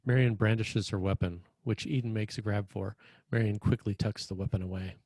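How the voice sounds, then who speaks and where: A man's voice: on the low side, fairly even intonation, brisk, darkish tone, no room sound. A man reading screenplay stage directions as scripted narration.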